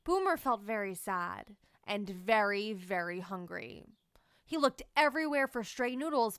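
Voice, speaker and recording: A woman reading a children's picture book aloud in an expressive, animated storytelling manner. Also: fairly high pitch, dry recording, clean studio sound. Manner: animated storytelling, sing-song. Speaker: read-aloud narrator.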